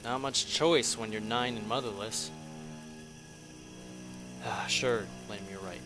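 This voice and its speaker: A man's voice: lowish voice, slow, over music. A man playing a sardonic, defiant soldier in a fan audio drama, with a dry, terse, sarcastic delivery. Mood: wry. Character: sardonic, defiant male soldier, a shaman's son.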